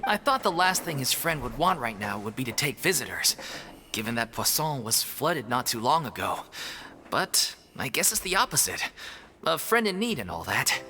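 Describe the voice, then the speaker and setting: A man's voice: mid-range voice, very animated, normal speed, noisy background, natural voice. An actor voicing an earnest male member of a theatre troupe in a video game, telling things expressively. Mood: sincere.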